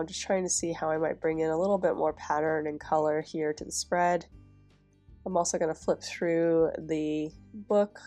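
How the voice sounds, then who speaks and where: A young woman with a stuffy, nasal voice from a cold, chatting casually in a voice-over as she decorates a planner. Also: on the low side. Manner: chatty, relaxed, with soft laughs. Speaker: planner vlogger.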